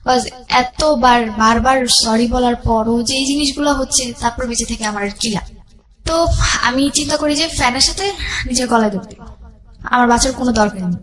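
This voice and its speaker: A young woman telling a personal life story as a guest, haltingly, repeating herself and mixing in English words. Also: a little high, low-quality recording.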